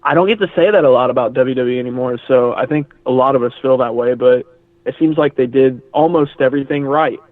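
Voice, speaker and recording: A man's voice: mid-range voice, brisk, no echo. A man calling in as a podcast guest, chatting casually and making self-deprecating jokes about himself. His voice comes through a dry, tinny phone line with no room sound.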